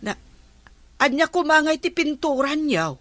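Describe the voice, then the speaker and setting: A woman's voice: sits high, expressive, a little slow, a bit bright, no room sound. A woman playing an inquisitive villager in a comedy radio drama, with singsong questioning.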